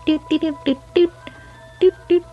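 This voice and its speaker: A bossy little troublemaker acted by a woman teases in a high-pitched, exclaiming voice. It is mischievous amateur comic-dub acting over music. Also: steady tone, normal speed, highs cut off. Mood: playful. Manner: teasing, exclaiming.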